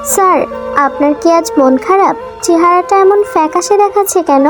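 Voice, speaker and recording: A woman's voice: very high voice, moderate pace, over music. A woman voicing a coy, attentive young female office assistant, teasing and solicitous, in a romantic audio story. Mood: coy, concerned.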